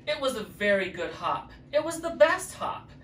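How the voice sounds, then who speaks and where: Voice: mid-range voice, big pitch swings, normal speed, a bit bright. Drag queen storyteller in an echoey room. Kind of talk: children's story reading. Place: living room with fireplace, indoors. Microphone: distant camera mic. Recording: echoey room, distant mic, faint noise.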